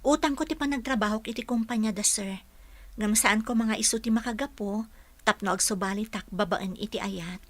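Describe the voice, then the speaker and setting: An actress with an Ilocano accent playing a gentle, polite young woman in a radio drama. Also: mid-range voice, fairly quick, no room sound, close to an everyday voice. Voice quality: soft-spoken, polite, says sir often.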